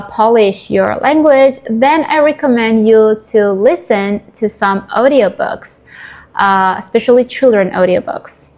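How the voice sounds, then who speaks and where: A woman in her twenties from Iran, a native Persian speaker, giving a chatty and enthusiastic guide to learning languages for her YouTube viewers. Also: medium pitch. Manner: chatty and enthusiastic, addresses viewers as "you guys".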